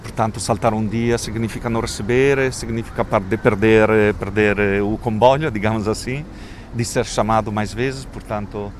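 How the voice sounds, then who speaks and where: Halting Italian man with a low voice. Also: steady tone, slight background noise. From Italy. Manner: halting, restarts often, thinking aloud. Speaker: community educator. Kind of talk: street interview. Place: outdoors in a residential neighbourhood.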